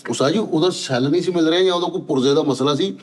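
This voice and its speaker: Punjabi guy, slightly high voice, talks fast, keeps saying ustad ji. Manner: deferential, keeps addressing the other as ustad ji. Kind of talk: casual chat, telling a story.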